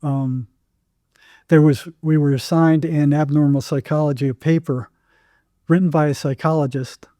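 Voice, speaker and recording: A man's voice: a little high, steady tone, normal speed, warm tone, no echo, clean studio sound. An older American man telling anecdotes casually as an interview guest, acting out bits of dialogue as he goes.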